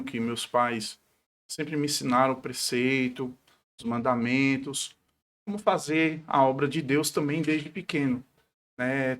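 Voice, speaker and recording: A man of about forty, a pastor speaking devoutly as an interview guest, often adding short tag questions. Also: mid-range voice. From Paraíba, northeastern Brazil. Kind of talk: devout personal testimony in a conversational interview.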